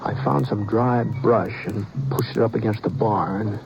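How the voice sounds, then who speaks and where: An actor playing a drifting gunman who narrates his own story in a slow, drawling, understated way, in 1950s radio drama style. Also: fairly low pitch, steady tone, vocal fry, music in the background, rough recording. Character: drifting male gunman, calm and plainspoken. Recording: old radio broadcast with hiss.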